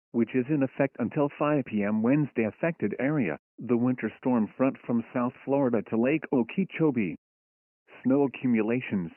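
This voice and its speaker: A synthetic male voice reading a scripted emergency weather bulletin in a formal, impersonal, measured way. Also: mid-range voice, no echo. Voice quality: synthetic text-to-speech voice. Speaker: automated weather alert announcer. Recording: tinny phone-like weather radio broadcast.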